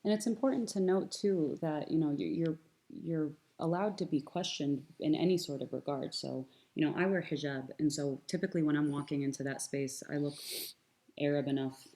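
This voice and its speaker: Low, mellow-voiced young American woman. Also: normal speed. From United States. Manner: earnest, thoughtful, many fillers and restarts. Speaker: guest speaker. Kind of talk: conversational panel talk.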